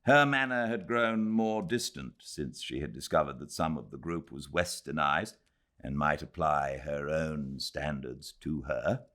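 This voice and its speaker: A man's voice: very deep voice, a little slow, a bit bright. A man with a British accent narrating a literary novel as an audiobook. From Britain.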